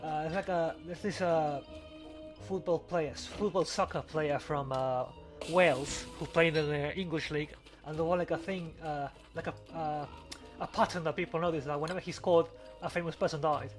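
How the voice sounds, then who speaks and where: Higher-voiced man chatting over music. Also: fairly even intonation, normal speed. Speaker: podcast co-host. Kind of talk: casual banter over a game.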